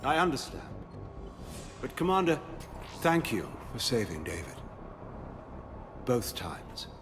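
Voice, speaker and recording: A man's voice: medium pitch, very animated, slow pace, music in the background. An actor playing a guilt-ridden male scientist hiding from his former employers, speaking in a subdued, somber way. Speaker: game character. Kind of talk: video game voice acting.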